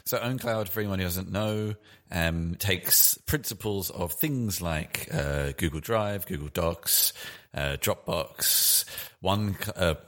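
A man's voice: low voice, slow pace, a bit bright. A man co-hosting a podcast interview, chatty and rambling, laughing easily. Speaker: podcast co-host. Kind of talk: casual interview chat.

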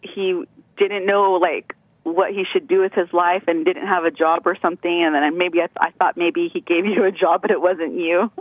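A woman's voice: fairly low pitch, fairly even intonation, normal speed, no echo. Woman caller from california, rambling giggly chat, tinny phone line, dry.

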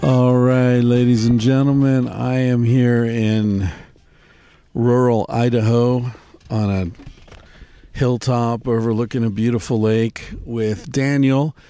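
A man hosting a casual interview from the front of a parked van, relaxed and rambling with many restarts. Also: mid-range voice, takes it slowly, smooth. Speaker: host. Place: front seat of a parked van, door open, rain.

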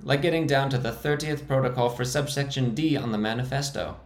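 A man voicing an awkward, high-voiced male survivor with a squeaky tone. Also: a little fast, natural voice. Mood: wry awkward. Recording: roomy echo.

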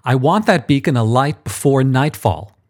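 A man's voice: mid-range voice, expressive, normal speed, pitched above the performer's own voice, lightly acted. A man voicing a pompous, imperious archangel boss. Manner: condescending, impatient, self-important. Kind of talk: audiobook character voice.

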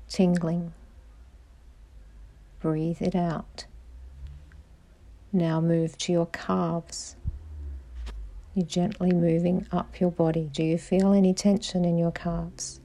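A woman guiding a meditation in a calm, soothing voice, giving measured instructions with pauses. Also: on the low side. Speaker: meditation guide, podcast host. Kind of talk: slow guided meditation narration.

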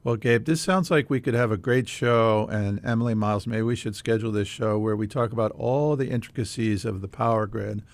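A man's voice: on the low side, steady tone, a little fast, few pauses. An American man hosting a casual interview, speaking in a curious, conversational way. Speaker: host.

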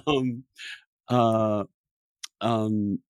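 English man, halting, digressive podcast interviewer. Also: fairly low pitch, fairly even intonation, smooth, dry recording. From England. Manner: halting, repeats words and restarts often, digressive. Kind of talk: conversational interview.

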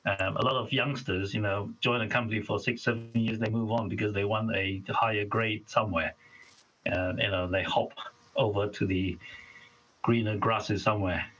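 A man's voice: fairly low pitch, steady tone, normal speed, a bit bright, highs cut off. A man in his fifties from Malaysia, guest on an interview, chatting casually and listing details of his work.

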